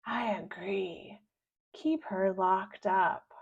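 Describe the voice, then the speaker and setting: A woman's voice: fairly high pitch, lively intonation, drawn-out words, heavily acted. A woman reading aloud and voicing a wicked old woman who mocks and grumbles, in a dull lo-fi recording. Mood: sneering. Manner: mocking and grumbling.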